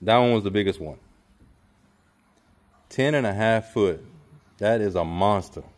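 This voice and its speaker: A middle-aged man talks in a relaxed, plainspoken way, telling the day's story outdoors. His voice sits a little low. It is caught on a handheld camera held at arm's length. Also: a little slow. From Louisiana. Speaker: outdoors vlogger. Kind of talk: casual outdoor vlog. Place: outdoors, lakeside dock and open shed.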